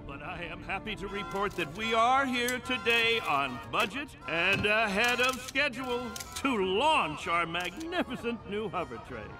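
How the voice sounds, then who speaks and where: A proud, showy official in a cartoon game. He declaims in a high, widely swinging voice, with music behind him. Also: big pitch swings, a little slow, hardly any pauses. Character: proud male official unveiling a futuristic train. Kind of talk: public speech, animated game acting.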